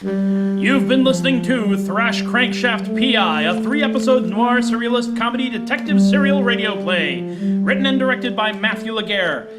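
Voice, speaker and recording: A man's voice: very high-pitched, moderate pace, low-quality recording. A man reading closing credits as a theatrical radio-show announcer. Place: theater stage, performed live. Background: loud guitar music.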